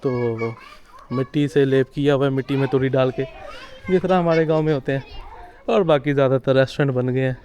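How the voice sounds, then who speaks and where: A man's voice: medium pitch, fairly even intonation, fairly quick, a little mellow, a faint crowd, a little hiss or hum. A young man from Pakistan, giving casual, chatty commentary to a handheld camera as he walks through old-town streets outdoors. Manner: chatty and conversational, pointing things out as he walks. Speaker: travel vlogger. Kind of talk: casual walking tour commentary.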